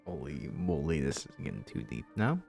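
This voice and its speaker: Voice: a bass voice, big pitch swings, moderate pace, vocal fry, a little mellow. Informal, joking game streamer over faint music. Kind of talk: casual game stream commentary and reading aloud.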